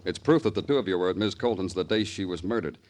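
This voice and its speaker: Very deep, terse radio detective. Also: male voice, animated, quick pace, darkish tone. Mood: dry, pressing. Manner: terse, dry, insistent. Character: tough, dogged male New York homicide detective. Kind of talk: 1950s radio crime acting.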